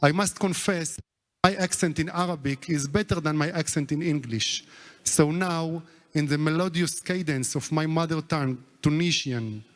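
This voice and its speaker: A man delivering a formal prepared speech as a government minister, in a measured way. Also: a little high, long reverb tail.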